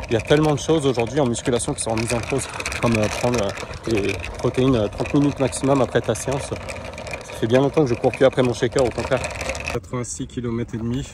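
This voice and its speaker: Chatty young male vlogger, mid-ride on a kick scooter, talking straight to viewers; outdoor city noise clearly audible. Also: mid-range voice. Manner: chatty, addresses viewers directly, fillers and asides. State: strained from exertion. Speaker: vlogger, coach and nutritionist. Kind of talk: casual vlog commentary while riding a kick scooter. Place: outdoors in a city, parks and streets. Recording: noisy outdoor street sound. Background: outdoor city ambience.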